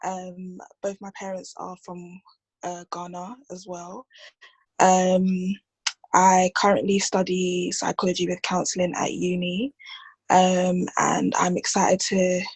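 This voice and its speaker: A young woman, a psychology student, speaking quietly and hesitantly with many pauses. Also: lowish voice, completely flat delivery, breathy edge, dry recording. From United Kingdom.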